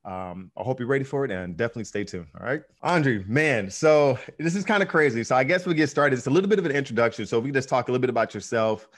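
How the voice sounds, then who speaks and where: A man's voice: medium pitch, lively intonation, quick pace, few pauses. A man hosting a business podcast in easygoing conversation, with lots of ums and restarts. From United States. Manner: easygoing, many fillers and restarts. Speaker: podcast host. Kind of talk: casual interview chat.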